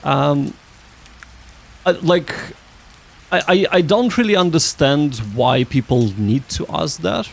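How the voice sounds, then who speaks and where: A man hosting a livestream, chatting casually with viewers in a halting, rambling way that often restarts sentences. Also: fairly high pitch, animated, creaky. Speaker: livestream host.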